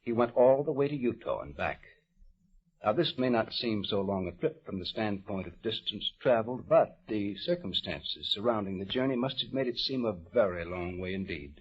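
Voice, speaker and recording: A husky-voiced man hosts a human-interest radio show of the old days. He speaks in a folksy, conversational way. The recording is dull and muffled, like an old broadcast. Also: on the low side, expressive, normal speed.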